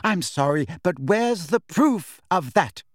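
Male reader as bossy teenage schoolgirl, raised pitch, brisk, insistent, tart, audiobook character. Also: expressive, no echo, studio quality. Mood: tart, snappy.

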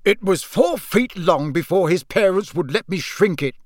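Storybook teen twin, man voicing, eager, lifted pitch. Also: lively intonation, moderate pace, no room sound, studio quality. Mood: gleeful. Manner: eager, gleeful. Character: eager mischievous teenage wizard twin boy.

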